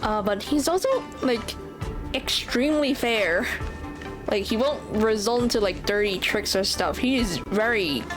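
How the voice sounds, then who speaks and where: A woman's voice: medium pitch, unhurried. A woman streaming a game playthrough with casual, laid-back commentary, sometimes reading choices aloud. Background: game music.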